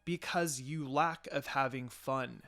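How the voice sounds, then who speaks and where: A man's voice: mid-range voice, takes it slowly, fairly bright tone. Guy doing a playful teasing young anime girl in a fanfic story, bit pushy, music underneath. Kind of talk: dramatized fanfiction storybook reading. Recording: music behind the voice.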